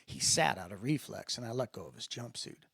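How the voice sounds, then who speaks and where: A man's voice: medium pitch, lively intonation, a little fast, vocal fry, fairly bright tone, breathy edge, no room sound, studio quality. A man giving a dramatic first-person narration of a story, as in an audiobook. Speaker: author-narrator reading fiction.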